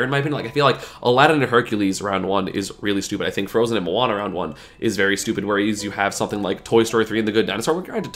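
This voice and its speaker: A man's voice: on the low side, a bit bright. A young man talking casually and rambling to camera, with lots of fillers, sniffling and a bit sick. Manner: rambling, filler-heavy, chatty. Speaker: gaming YouTuber. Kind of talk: casual opinion vlog.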